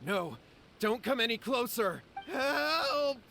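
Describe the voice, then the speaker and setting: A man's voice: very high voice, animated, slow pace, creaky, music in the background, heavily acted. An actor playing a cowardly, panicky young man in a video game, stammering and pleading in a strained voice. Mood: terrified.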